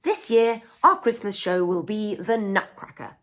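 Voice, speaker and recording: A woman voicing a ballet teacher addressing her young class in an expressive picture-book read-aloud. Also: mid-range voice, measured pace. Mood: announcing.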